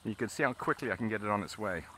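Low, bright-toned male angler teaching as he fishes, action-cam sound with faint outdoor noise. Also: rapid-fire. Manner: relaxed, explains steps as he casts. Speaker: fly fishing instructor. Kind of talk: casual instruction while fishing. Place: outdoors on a river, in a boat.

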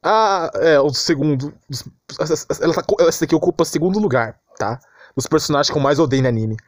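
A man's voice: a little high, fairly quick, no echo, clean studio sound. A man with a Brazilian Portuguese accent giving an informal, exasperated rant as an anime commentator, repeating himself and using lots of slang.

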